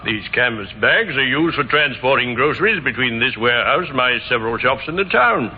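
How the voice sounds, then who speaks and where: An actor playing a defensive but obliging shop owner in an old radio drama, giving brisk, emphatic assurances. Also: male voice, medium pitch, animated, natural voice. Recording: tinny, echoing, noisy old radio recording.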